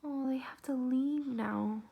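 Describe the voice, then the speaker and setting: A woman with a somewhat high voice leads story time for preschoolers. She is expressive as a teacher. She breaks from the reading to react and ask simple questions. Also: slow, creaky, highs cut off. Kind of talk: read-aloud story time for young children.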